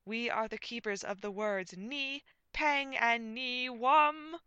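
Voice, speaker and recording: A woman voicing a very tall, pompous antlered knight who booms out absurd demands in a comic fantasy story. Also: slow, sharp tone, clear, resonant tone, no room sound, muffled recording. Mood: pompous, commanding. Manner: booming, shrill chanting, declamatory.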